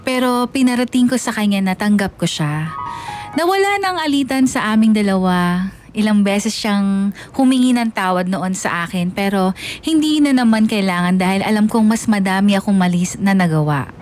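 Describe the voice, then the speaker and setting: A woman with a Filipino accent narrates a personal story as a radio host. Her pitch sits in the middle and the delivery is dramatic storytelling. Light music plays behind her. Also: animated, moderate pace, darkish tone. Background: background music.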